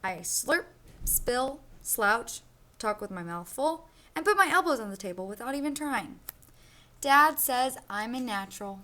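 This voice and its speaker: A sloppy, cheerful young storybook boy voiced by a woman reading aloud tells his own tale. The voice sits fairly high, with expressive emphasis and childlike boasting. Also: measured pace. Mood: proud, unbothered.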